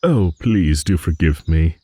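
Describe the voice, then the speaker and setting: Man voicing a deep, slow, ancient dragon. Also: expressive, mellow, no echo, heavily acted. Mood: drowsy, courteous. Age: older. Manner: rambling, musing, with hums and chuckles. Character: ancient, rambling, good-natured old male dragon. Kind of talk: improvised fantasy audio roleplay monologue.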